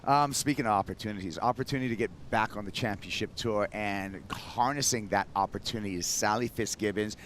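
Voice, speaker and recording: A man's voice: fairly low pitch, fairly quick, few pauses, fairly bright tone, slight background noise. A man from Hawaii commenting on a surf contest, talking in an easygoing, chatty way. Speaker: broadcast commentator.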